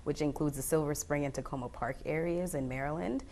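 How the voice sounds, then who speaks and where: A woman from Jamaica, a state delegate, answering interview questions in an earnest, measured way. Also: low voice, a little mellow.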